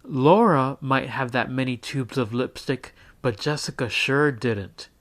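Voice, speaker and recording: A man reading a children's picture book aloud as a teacher, in an expressive storytelling style. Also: mid-range voice, unhurried.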